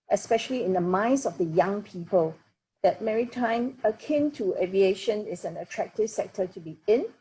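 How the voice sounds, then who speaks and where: Measured woman, warm low tone. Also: slight background noise, poor audio. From Singapore. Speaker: shipping association president, panelist. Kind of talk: panel discussion over video call. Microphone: laptop webcam mic, video call.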